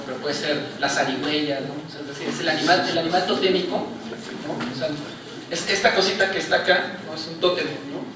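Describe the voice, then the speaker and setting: A man with a Mexican accent, a book-presentation panelist giving an academic answer in a university lecture hall, explaining step by step and often closing phrases with a questioning tag. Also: a little high. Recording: echoey hall, picked up from far off, noisy.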